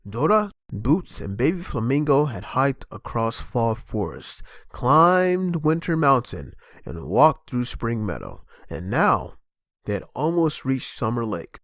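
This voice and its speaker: A man reading a children's story aloud as narrator in an animated, expressive way. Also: mid-range voice, slow pace, no echo, studio quality.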